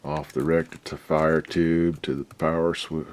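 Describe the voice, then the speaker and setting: A man's voice: rumbling low, little pitch movement, unhurried, a little mellow. A man restoring an old radio at his workbench, giving rambling, folksy commentary with a lot of swearing. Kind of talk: casual workshop commentary.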